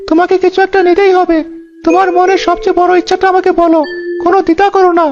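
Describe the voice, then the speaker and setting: Very high-pitched solemn magical deer. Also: male voice, little pitch movement, fast talker. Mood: gracious, pleased. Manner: solemn, formal, pronouncing. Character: magical golden-horned deer, solemn, grateful and benevolent. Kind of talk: animated fairy tale acting. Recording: dull lo-fi sound. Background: loud background music.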